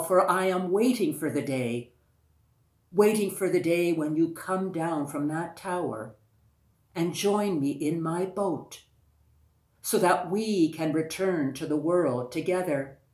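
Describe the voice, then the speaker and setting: A woman's voice: fairly low pitch, close to an everyday voice. A woman storyteller voicing a devoted young prince in a folktale, earnest and yearning, repeating his words. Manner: earnest, slow, repetitive. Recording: echoey, roomy sound.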